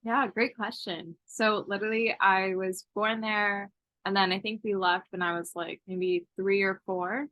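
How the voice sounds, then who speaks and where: A woman's voice: medium pitch, steady tone, unhurried, fairly bright tone, dry recording, rough recording. A woman in her twenties, a college student from Ethiopia raised in Texas, answering interview questions casually with lots of fillers. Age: 20s.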